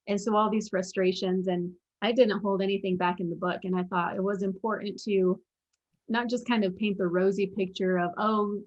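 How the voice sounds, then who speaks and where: Young woman guest, earnest and animated, telling it like a story, kinda dull muffled audio. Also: medium pitch. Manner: earnest, animated storyteller, quotes her own thoughts. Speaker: guest, former pro basketball player and mental performance coach. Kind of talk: casual interview storytelling.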